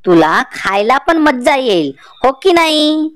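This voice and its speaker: Woman voicing a slow, coaxing old village granny. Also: high voice, big pitch swings, no echo, studio quality, voice pushed up, heavily acted. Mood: coaxing, wily. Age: older. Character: kindly, shrewd old village grandmother. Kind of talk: cartoon folk-tale acting.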